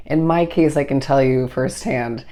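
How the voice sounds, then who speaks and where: A tarot reader talking straight to camera, hoarse from a recently lost voice. The delivery meanders through intuitive hunches and keeps turning back to the listener with reassurance. The pitch sits right in the middle. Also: a little slow, dark tone. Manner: reassuring, addresses the listener directly. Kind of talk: solo tarot reading to camera.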